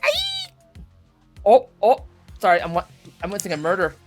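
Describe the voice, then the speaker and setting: A woman's voice: mid-range voice, huge pitch swings, normal speed, faint music behind. A woman in her thirties chatting casually in a playful, easily distracted way while playing a game. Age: 30s. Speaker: voice actor being interviewed. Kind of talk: casual interview chat while gaming.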